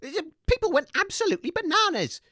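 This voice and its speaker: A woman's voice: extremely high pitch, big pitch swings, fast, a bit bright, no room sound, clean studio sound, heavily acted. A woman playing an earnest, fussy, easily exasperated radio co-host in scripted comedy, hesitant and reproachful.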